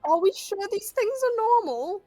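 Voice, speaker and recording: Lively high-voiced woman gamer. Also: normal speed. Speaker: tabletop game player. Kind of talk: casual game chat. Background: background music.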